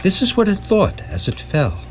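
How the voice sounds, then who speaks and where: A man playing a dry, wry narrator in radio theatre, with formal, deadpan wording. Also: sits high, normal speed, a little hiss or hum.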